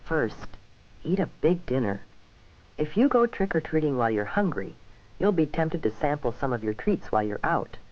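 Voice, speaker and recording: An American man reading scripted, instructive narration for a 1970s safety film, with measured phrasing addressed to young people. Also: fairly high pitch, big pitch swings. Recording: dull vintage 1970s film soundtrack.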